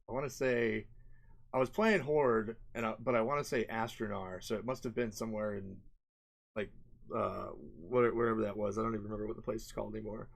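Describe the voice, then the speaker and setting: Man, mid-pitched voice, casual video commentary, rambling, colloquial, unscripted. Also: gravelly. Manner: rambling, colloquial, frequent "like" and "right?" tags. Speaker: indie game developer, video host. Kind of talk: casual off-the-cuff commentary over video clips.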